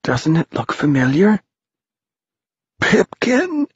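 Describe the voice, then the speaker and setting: A man's voice: a little high, very animated, a little slow, a little mellow, husky, no room sound, highs cut off, pitched above the performer's own voice, exaggerated voice. A man voicing an earnest, anxious twelve-year-old adventurer in a storybook, urgent and exclaiming. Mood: startled.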